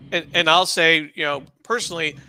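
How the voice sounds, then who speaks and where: A man with a strikingly bright, forceful voice, pitched a little high, co-hosts a live show. His delivery is conversational and halting, full of appreciation. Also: fairly even intonation, clean tone. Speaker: co-host. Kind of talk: casual live show hosting.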